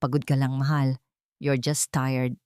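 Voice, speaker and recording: A woman voicing a young husband who talks softly and slowly to his wife. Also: extremely low pitch, flat delivery, clear, resonant tone, no room sound, studio quality, pitched below the performer's own voice. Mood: soothing. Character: young husband, loving on the surface.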